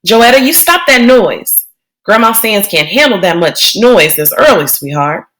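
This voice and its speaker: A woman's voice: mid-range voice, big pitch swings, a little slow, fairly bright tone, poor audio. A woman voicing a stern, fussy elderly grandmother, scolding in a drawl.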